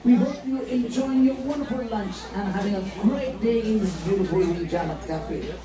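An announcer making a courteous, formal public address to guests, heard over loudspeakers. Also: medium pitch, normal speed. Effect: public address speaker. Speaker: ship announcer. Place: large cruise ship dining hall. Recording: echoey PA announcement from far off, crowd. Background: crowd chatter.

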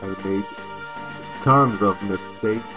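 A native French speaker, a man with a French accent, gives casual, hesitant game commentary. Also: fairly low pitch. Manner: hesitant, halting delivery. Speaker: let's play host. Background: video game music.

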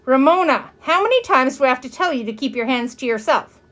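A woman's voice: fairly high pitch, expressive, fairly quick, close to an everyday voice. A woman voicing a weary, exasperated mother in a storybook, firm and long-suffering with her small child.

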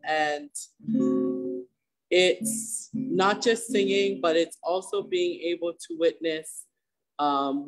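Shy young man, slow and halting. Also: fairly high pitch, low-quality recording. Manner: hesitant and slow, many um pauses. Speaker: student sharing a song. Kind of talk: shy spoken introduction. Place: indoors under an open wooden pavilion. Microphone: laptop webcam on a video call.

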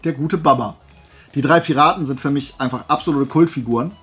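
A man's voice: on the high side, fairly quick, fairly bright tone, husky, soft background music. A man vlogging casually in a colloquial storytelling way, acting out bits of dialogue, his throat dry and voice worn from days of talking. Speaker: host of a flea market haul video. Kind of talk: casual anecdotal vlog chat.

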